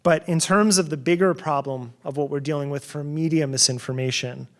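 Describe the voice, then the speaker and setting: A man's voice: fairly high pitch, fairly even intonation, fairly quick. A middle-aged man from New Jersey giving a conference keynote in a conversational way, with sarcastic asides and frequent restarts. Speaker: keynote speaker.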